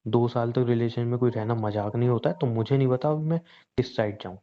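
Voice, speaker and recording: A man's voice: medium pitch, fairly even intonation, fast, natural voice. A young man playing a hurt, angry boyfriend in an acted phone conversation, pleading and mixing English phrases into his speech. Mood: conflicted, restrained. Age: young adult. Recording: dull, lo-fi phone call recording.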